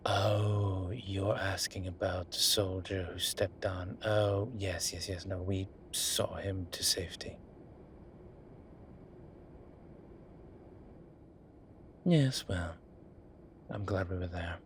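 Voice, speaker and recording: A man playing a wry, laid-back incubus demon professor in a roleplay audio drama, talking with breathy pauses and trailing sentences. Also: low-pitched, steady tone, slight background noise. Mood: relaxed, friendly. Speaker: voice actor. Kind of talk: roleplay audio drama, one side of a conversation.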